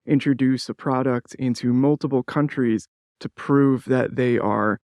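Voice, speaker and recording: A man speaks as an interview host at a middling pitch with noticeable vocal fry. He is casual and explanatory as he leads the conversation. The sound is dry, with very little room in it. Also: steady tone, unhurried, darkish tone, no echo.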